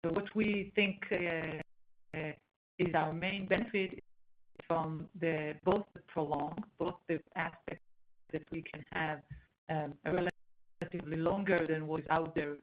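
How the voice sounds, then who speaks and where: A woman executive answering on an earnings call, explaining in a halting way as she searches for words. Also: low voice, steady tone. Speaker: company executive. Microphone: conference call line. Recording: dull, lo-fi conference call line.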